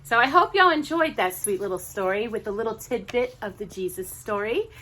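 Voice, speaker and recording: A woman's voice: on the high side, expressive, brisk, sharp tone. A woman reading a children's picture book aloud expressively, with casual asides to the listener.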